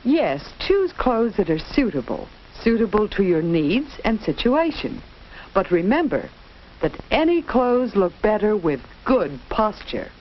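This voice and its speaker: A woman's voice: medium pitch, big pitch swings, measured pace. A woman giving scripted, instructive narration in a 1940s educational film style, carefully enunciated. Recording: dull, noisy 1940s film soundtrack.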